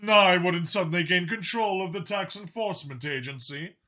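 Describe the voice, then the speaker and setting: A man's voice: sits high, normal speed, bright, forceful tone. A man voicing a calculating, mild-mannered dragon spymaster in an audiobook, articulate, formal and matter-of-fact about killing. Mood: grave, explanatory. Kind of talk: audiobook character dialogue.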